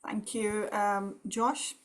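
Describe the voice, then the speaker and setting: A woman's voice: medium pitch, level tone, very slow. A woman moderating an online panel, giving a prepared speech in an earnest, formal way. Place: indoor room, video call. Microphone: video call microphone.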